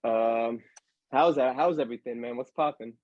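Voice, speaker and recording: A young man hosts a casual podcast in a relaxed, slangy way and hypes up his guest. His mid-range voice comes through a dull, dry, lo-fi mic. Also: medium pitch, moderate pace, no room sound. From Allentown, Pennsylvania, USA. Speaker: podcast host.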